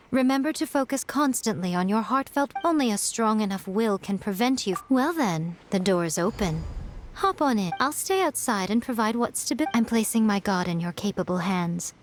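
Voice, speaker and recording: A woman's voice: medium pitch, lively intonation, moderate pace, a little hiss or hum, lightly acted. A woman playing a sly, self-assured mystical lady in an anime game, with an elegant, teasing, condescending manner. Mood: coy instruction. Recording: faint music behind.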